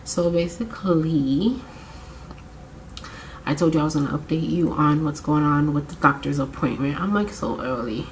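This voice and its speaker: A young woman with a low, congested voice chats to her camera as she gets over a cold. She rambles and corrects herself as she goes. A faint hiss of room noise sits behind her. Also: normal speed, poor audio. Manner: chatty, rambling, self-correcting. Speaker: vlogger. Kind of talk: casual chat to camera. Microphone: camera mic.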